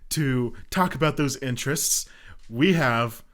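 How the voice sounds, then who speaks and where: A man's voice: mid-range voice, measured pace, fairly bright tone. A young man hosting a casual podcast, talking in a loose, rambling way full of fillers and frequent laughter. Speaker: podcast host. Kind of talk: casual chat.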